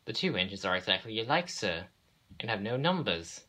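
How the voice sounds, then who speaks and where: A man's voice: on the low side, sing-song, brisk, sharp tone, pitched above the performer's own voice, exaggerated voice. A man voicing a polite, hesitant railway inspector in a storybook, formal and apologetic. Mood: deferential.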